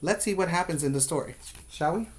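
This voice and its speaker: A man working as a preschool teacher, reading a picture book aloud to young children with expressive emphasis and asides. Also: on the high side, a little fast.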